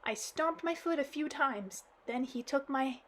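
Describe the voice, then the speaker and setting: A woman reading a storybook aloud and voicing a dramatic, tearful little girl who sobs out her complaints. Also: measured pace. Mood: sobbing. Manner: high, sobbing, wailing delivery.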